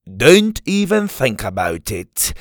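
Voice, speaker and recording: A man's voice: medium pitch, huge pitch swings, slow, no room sound, studio quality. A man voicing a crotchety, sarcastic old Scotsman in a storybook. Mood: grumpy, defiant. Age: older. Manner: grumpy, sardonic, emphatic.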